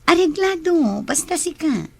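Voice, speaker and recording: A woman's voice: extremely high pitch, big pitch swings, measured pace, gravelly, no room sound, full character voice. A woman acting a headstrong, lovesick young daughter and boss with a Filipino accent in a radio drama. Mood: coaxing, bossy.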